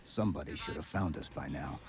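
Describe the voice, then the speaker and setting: A man playing a stoic armoured super-soldier in a video game, speaking in terse, calm deadpan. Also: rumbling low, fairly quick, loud music behind the voice. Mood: stoic, questioning. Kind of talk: video game cutscene acting.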